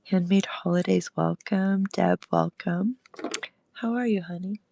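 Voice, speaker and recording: Slow, gravelly-voiced woman crafter. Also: fairly low pitch, dark tone, a little hiss or hum. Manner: chatty, greets viewers while demonstrating. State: recovering from illness, gravelly throat. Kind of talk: casual live crafting stream. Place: home crafting space.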